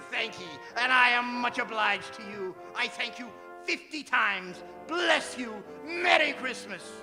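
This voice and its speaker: An excitable old english-miser type turned joyful, creaky high voice, radio play in an echoey hall. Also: male voice, slow pace, hardly any pauses, full character voice. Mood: eager, kindly. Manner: chuckling, stammering, excitable old gentleman. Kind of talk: theatrical radio drama acting. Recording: reverberant stage hall, faint music behind.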